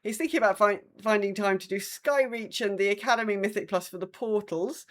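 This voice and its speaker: A woman's voice: mid-range voice, fairly quick. An English woman co-hosting a podcast in casual chat, hesitant and wry, often correcting herself. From England. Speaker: podcast co-host. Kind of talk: casual chat, reading listener updates.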